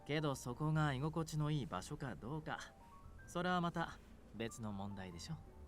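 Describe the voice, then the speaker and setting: Actor as cool, frank non-human man, brisk delivery. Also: fairly high pitch, animated, soft background music, natural voice. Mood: frank, earnest. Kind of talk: visual novel voice acting.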